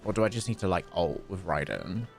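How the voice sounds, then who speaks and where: A man's voice: low-pitched, expressive, brisk, gravelly, a little mellow, faint music behind. A man streaming a game, chatting casually and rambling while answering chat questions. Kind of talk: casual gameplay commentary.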